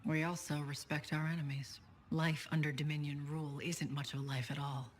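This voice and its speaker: An actress playing a guarded spymaster in a sci-fi video game, speaking in a measured, controlled, formal way. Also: female voice, low-pitched, flat delivery, a little hiss or hum, close to an everyday voice. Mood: guarded, grave. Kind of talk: conversational video game voice acting.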